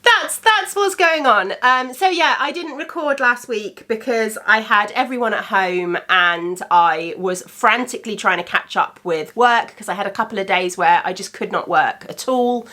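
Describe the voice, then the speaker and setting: Bright, chatty woman podcast host. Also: fairly high pitch, expressive, moderate pace, few pauses. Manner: chatty and rambling, many ums. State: congested from a cold. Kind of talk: casual chat.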